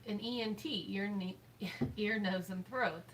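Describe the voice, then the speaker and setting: A woman's voice: medium pitch, a little slow, low-quality recording. A woman chats casually beside the streamer, tossing in dry, offhand remarks. Her voice is caught by a phone mic across a room at home, with faint noise behind. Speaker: co-host. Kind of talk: casual chat.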